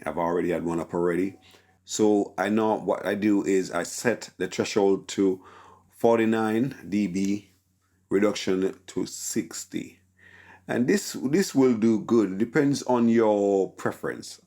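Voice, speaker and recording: A man with a deep voice teaching a casual tutorial on mixing vocals, with a relaxed, filler-heavy delivery full of "okay?". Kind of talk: casual screen-recorded tutorial.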